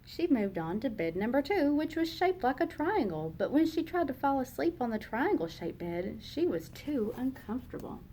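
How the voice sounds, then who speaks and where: A woman teacher reading a children's picture book aloud, slow and expressive for young listeners. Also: high voice, a little hiss or hum, low-quality recording.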